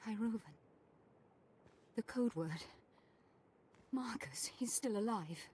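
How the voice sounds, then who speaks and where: A woman's voice: fairly high pitch, expressive, takes it slowly, darkish tone, breathy edge, full character voice. A woman playing a proud, protective middle-aged noblewoman in a video game, speaking in a formal, dramatic and disdainful manner. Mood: worried, hesitant. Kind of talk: video game cutscene acting.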